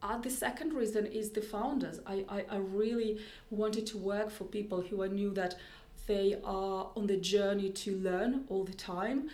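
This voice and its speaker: A woman's voice: medium pitch, moderate pace, few pauses, a little mellow, reverberant. A woman with a Russian accent, a tech executive guest on a podcast, speaking thoughtfully with many restarts and repeated words. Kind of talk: podcast interview.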